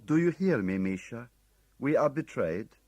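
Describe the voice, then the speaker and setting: Man doing a foreign male agent, high and urgent, formally courteous, slow and insistent, husky, dry sound. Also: lively intonation, darkish tone, no room sound. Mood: pleading.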